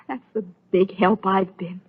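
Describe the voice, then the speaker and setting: An actress playing an anxious, conscience-stricken wife in an old radio soap opera, urgent and fretful. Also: female voice, medium pitch, level tone, slow pace, breathy edge. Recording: muffled old radio recording.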